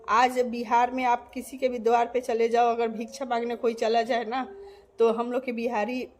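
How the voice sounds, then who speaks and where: A woman from Bihar talking to her channel's viewers in an earnest, emphatic way, repeating her points. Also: a little high. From Bihar, India. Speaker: vlogger. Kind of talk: casual direct-to-camera monologue.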